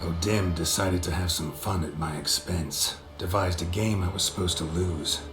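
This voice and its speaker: A man playing a gruff, weary, stoic monster hunter in a video game, speaking in laconic, deadpan phrases with a gravelly growl. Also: deep voice, normal speed, reverberant, over music, lightly acted. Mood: dry, calm.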